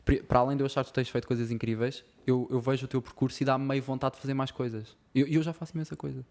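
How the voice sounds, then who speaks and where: A man's voice: mid-range voice, level tone, very fast, darkish tone. A man with a European Portuguese accent hosting a casual studio interview, asking friendly, joking questions. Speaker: podcast host.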